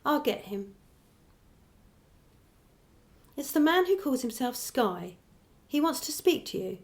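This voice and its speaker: An actress playing a sceptical, outspoken wife with a pointed, questioning manner in a radio drama. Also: female voice, high-pitched, big pitch swings, moderate pace. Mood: sceptical, tense.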